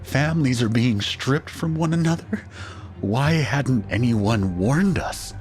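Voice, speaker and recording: A man's voice: medium pitch, animated, takes it slowly, faint music behind. A man playing an anguished husband and father, narrating an audio drama in a grave, dramatic way. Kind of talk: scripted first-person audio drama narration.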